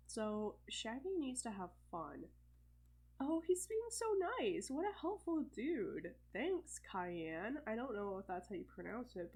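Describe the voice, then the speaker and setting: Young woman w a stuffy cold chatting thru her sims game, lots of ums and little laughs. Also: medium pitch. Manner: chatty, thinking aloud with fillers and soft laughs. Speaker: let's play host. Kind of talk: casual gameplay commentary.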